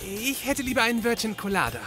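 Young male detective's assistant, high-pitched, naive and whiny, comedy audio play, music underneath. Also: very animated, fast. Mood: protesting. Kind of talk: occult comedy audio drama acting.

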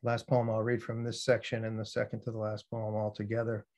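A man's voice: lowish voice, completely flat delivery, brisk, a little mellow. American man, a poet giving a reading. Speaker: poet reading own work. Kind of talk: poetry reading with spoken introductions.

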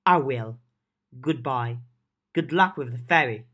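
A narrator plays a mysterious, composed female spirit in an audiobook. She speaks formally and at length, in measured phrases, advising her young allies. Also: mid-range voice, a bit bright, breathy edge.

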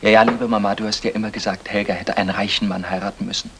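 An actor playing a sarcastic, pedantic husband who needles drily, in the style of a 1960s German radio play. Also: male voice, lowish voice, little pitch movement, very fast, fairly bright tone. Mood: bitter, sarcastic. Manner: dry, sardonic, needling. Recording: noisy old radio play recording.

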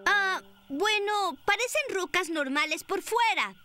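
Earnest, high-voiced boy dinosaur. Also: expressive, measured pace, bright, forceful tone, slight background noise, heavily acted. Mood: thoughtful, helpful. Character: earnest, optimistic young boy long-neck dinosaur. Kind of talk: Spanish cartoon dub acting.

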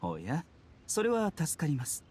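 A man playing a courteous, composed doctor in an anime game, speaking gently and formally. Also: on the high side, huge pitch swings, a little slow, a little hiss or hum. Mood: calm. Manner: soft-spoken polite formal phrasing. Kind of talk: Japanese anime game voice acting.

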